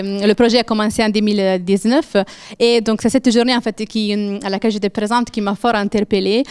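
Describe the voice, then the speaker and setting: Earnest woman presenting, smooth voice. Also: fairly high pitch. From Italy. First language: Italian. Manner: earnest, halting, with many fillers and restarts. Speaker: patient association co-founder presenting. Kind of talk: presentation to an audience.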